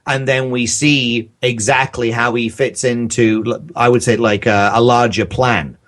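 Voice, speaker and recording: A man's voice: medium pitch, little pitch movement, measured pace, a bit bright. A man chatting casually as a podcast guest, telling stories in a rambling, hesitant way. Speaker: podcast guest, audio drama creator.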